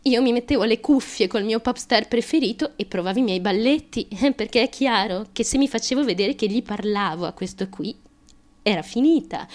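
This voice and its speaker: An actress playing a troubled young woman in an intimate radio theatre monologue, confessional and crude, halting and nervous, with abrupt emotional swings. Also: on the high side, lightly acted.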